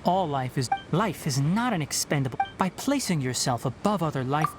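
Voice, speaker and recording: A man's voice: on the high side, animated, natural voice. A man voicing a young forest ranger in a video game, knowledgeable and careful, with an instructive, dryly teasing manner. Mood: serious, cautious. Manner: instructive, measured, dry. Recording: music behind voice.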